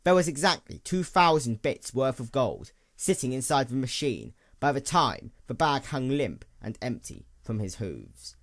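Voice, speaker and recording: Man narrating a story with lively, swooping intonation. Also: mid-range voice. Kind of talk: dramatic scripted narration of fan fiction.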